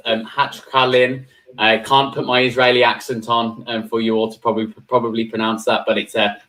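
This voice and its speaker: A man's voice: medium pitch, fairly bright tone, low-quality recording. Chatty man hosting a live stream. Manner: chatty, many fillers and restarts. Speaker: host.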